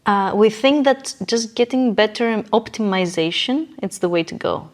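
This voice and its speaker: A woman's voice: mid-range voice, normal speed, darkish tone. A woman guest in an interview, explaining her ideas conversationally in emphatic, short declarative phrases and repeating her key points.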